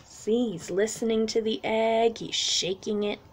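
A woman with a high voice gives a storytime read-aloud for young children. She takes her time and explains things simply, in the expressive way of a children's teacher. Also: unhurried, a little hiss or hum. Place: small room at home, indoors.

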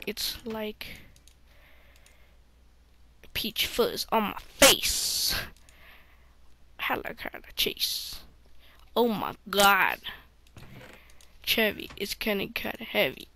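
A woman's voice: medium pitch, sing-song, very slow, gravelly, pauses often, sharp tone, a little hiss or hum. A woman hosting a game playthrough, rambling and often dropping to a mumble. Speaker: let's play host. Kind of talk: gameplay commentary.

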